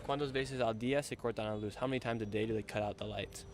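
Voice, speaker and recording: A man's voice: on the low side, fairly even intonation, very fast. A man conducting bilingual street interviews outdoors, putting questions in both Spanish and English.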